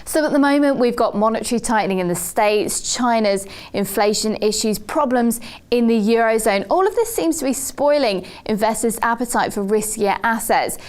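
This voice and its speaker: High-pitched woman interviewer. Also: animated, normal speed, a little mellow. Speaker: TV host interviewer. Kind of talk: financial news interview.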